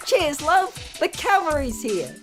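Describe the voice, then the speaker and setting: A woman's voice: high voice, sing-song, measured pace, fairly bright tone, soft background music, full character voice. A woman voicing an eager, cheeky young boy dragon sidekick in a parody cartoon, perky and mock-heroic. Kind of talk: fan parody cartoon dub.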